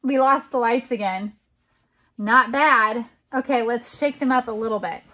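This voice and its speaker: High-voiced woman leading children's storytime, slow-paced. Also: sharp tone. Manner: encouraging, exclamatory, drawn-out delivery to a young child. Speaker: schoolteacher reading a picture book aloud to a child. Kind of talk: interactive children's storytime read-aloud.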